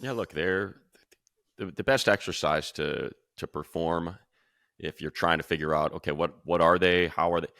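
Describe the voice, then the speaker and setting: A man's voice: sits low, moderate pace, a bit bright, no echo, clean studio sound. An American man hosting sports talk, breaking down his analysis emphatically in short, punchy phrases as he thinks aloud. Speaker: sports show host.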